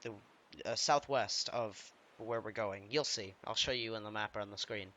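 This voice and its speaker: A man with a slightly hoarse voice from feeling unwell, giving rambling, self-deprecating commentary while he plays a video game. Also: on the low side, normal speed, pushed and bright, muffled recording. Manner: rambling, self-deprecating, trailing off mid-sentence. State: slightly hoarse, under the weather. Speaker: let's play gamer. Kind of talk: casual gameplay commentary.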